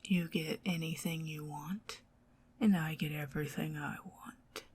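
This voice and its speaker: A woman with a Southern US accent voicing a possessive, scheming goblin queen in a soft, teasing ASMR whisper. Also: deep voice, husky, exaggerated voice. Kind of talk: ASMR roleplay.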